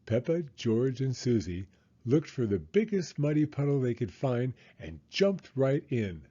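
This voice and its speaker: Slow-reading man telling a children's story. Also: mid-range voice, sing-song, mellow, breathy edge, highs cut off. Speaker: storybook reader. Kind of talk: expressive children's story read-aloud.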